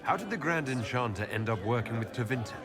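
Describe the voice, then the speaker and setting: A man playing a composed, inquisitive elven leader in a fantasy game, measured and questioning. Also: mid-range voice, lively intonation, lightly acted. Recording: music behind the voice.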